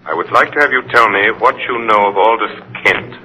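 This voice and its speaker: A man playing a grave, mysterious crime-fighting avenger in 1930s radio style, speaking formally and deliberately on an old recording. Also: on the low side, pushed and bright, poor audio. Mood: commanding, probing.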